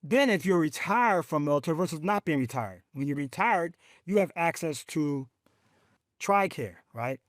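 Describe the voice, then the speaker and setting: A man who is a veteran advocate, talking emphatically in a podcast conversation and telling stories with lively, faith-filled conviction. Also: moderate pace, no room sound, studio quality. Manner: emphatic, animated storytelling, speaks of faith; rising pitch when excited.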